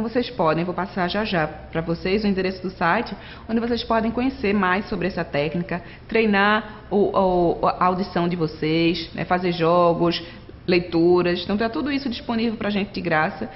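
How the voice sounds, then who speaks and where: A woman's voice: mid-range voice, dull audio, slight background noise. A woman teacher giving a recorded distance-learning lecture, talking conversationally and often checking in with tag questions. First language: Portuguese. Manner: conversational, frequent tag questions, addresses students directly.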